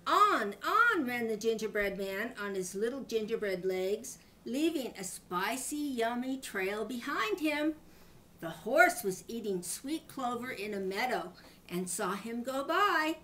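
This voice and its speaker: An older woman, a grandmother, reading a children's story aloud in an animated style. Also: a little high, unhurried.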